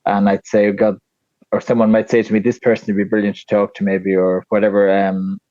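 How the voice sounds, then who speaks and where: A man with a flat Tipperary Irish accent, chatting casually as a podcast guest, rambling with lots of fillers and restarts. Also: fairly low pitch, fairly quick, dry recording, highs cut off. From Ireland. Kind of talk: casual interview chat.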